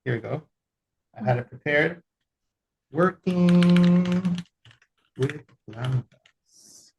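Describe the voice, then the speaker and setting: A man from El Salvador giving a relaxed, conversational technical webinar with frequent fillers. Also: fairly high pitch, extremely slow, echoey room, highs cut off. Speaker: webinar presenter.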